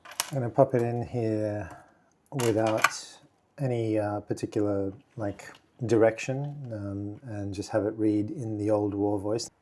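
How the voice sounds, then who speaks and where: A man casually walking through software on his screen, thinking aloud with halting fillers and restarts. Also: lowish voice, fairly even intonation, slight background noise. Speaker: video creator testing software. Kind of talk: casual screen-recording walkthrough.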